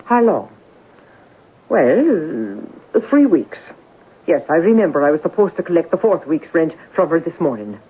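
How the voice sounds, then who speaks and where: A chattering, flustered working-class landlady in a vintage radio drama. Her husky voice swings up and down as she rambles and exclaims. The sound is thin and narrow, like an old broadcast. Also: sits low, sing-song, moderate pace, heavily acted. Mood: defensive. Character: garrulous working-class Irish-tinged boarding-house landlady, flustered.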